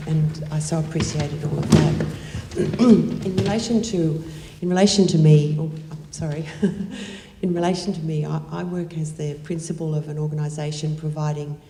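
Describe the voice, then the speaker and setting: A woman's voice: sits low, vocal fry. A woman who leads a foster care organisation, speaking earnestly and appreciatively to a gathering. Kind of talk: speech to a gathering. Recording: echoey hall with room noise.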